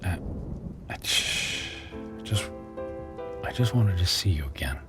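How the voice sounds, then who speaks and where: A man's voice: sits low, animated, slow pace. An actor playing a humbled, middle-aged ex-husband in a radio drama, apologetic and pleading, stammering as he goes. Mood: downcast, pleading. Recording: music bed and rain behind.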